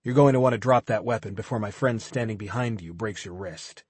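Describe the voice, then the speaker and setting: A man's voice: on the low side, brisk, husky, dry recording. A man voicing a cold, controlled agent. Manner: calm, clipped and threatening.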